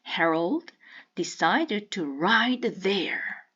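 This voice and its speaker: A woman reading a children's picture book aloud with deliberate, teacherly enunciation. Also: medium pitch, lively intonation, sharp tone, slightly rough, lo-fi sound. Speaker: storybook reader.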